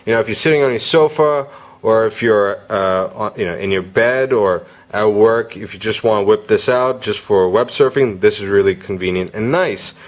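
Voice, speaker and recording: A man giving a casual, unscripted product review, halting and rephrasing as he goes. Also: on the low side.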